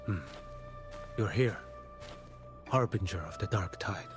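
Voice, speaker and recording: Video game role: stern, solemn blind envoy, lowish register, unhurried phrasing, over clear background music. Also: male voice. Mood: cool, guarded. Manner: solemn, measured, deliberate delivery. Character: grave blind male envoy tracking the dark tide.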